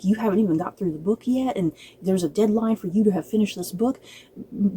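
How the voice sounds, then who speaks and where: A woman's voice: medium pitch, dark tone, slight background noise. A woman giving a casual, conversational review to viewers, hesitant at times but emphatic about her opinions. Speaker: book and film reviewer.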